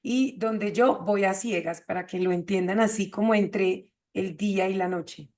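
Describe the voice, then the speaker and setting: A woman's voice: mid-range voice, measured pace, darkish tone. A woman hosting an explanatory conversation, teaching point by point and checking with short questions. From Colombia. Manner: didactic, checks with rhetorical questions. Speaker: host of an astrology show.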